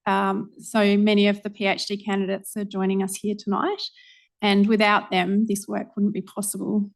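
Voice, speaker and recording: A woman researcher giving a public lecture, earnest and organized, often pausing with ums. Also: medium pitch, completely flat delivery, vocal fry.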